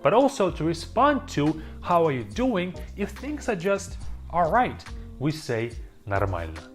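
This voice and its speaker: A dubbed voice teaching beginner vocabulary in a slow, scripted lesson style, repeating each word for learners. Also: medium pitch, wildly swooping pitch. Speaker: language teacher. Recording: auto-dubbed voice with faint music.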